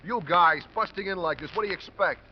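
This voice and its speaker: An American actor playing an evasive, jittery bartender mixed up in crime in a 1950s radio drama. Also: male voice, high-pitched, fast talker, natural voice. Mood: defensive, agitated. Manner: defensive, evasive. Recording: muffled, hissy old radio recording.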